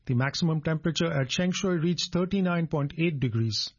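A man's voice: on the high side, a little fast, lo-fi sound. A man reading a scripted radio news bulletin as the presenter.

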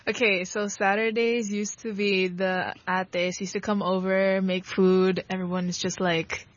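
A woman's voice: mid-range voice, flat delivery, a little slow, no room sound. A young woman from Hong Kong, a guest athlete chatting casually in a radio interview, talking loosely with lots of fillers and laughs. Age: young adult. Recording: slightly dull broadcast audio.

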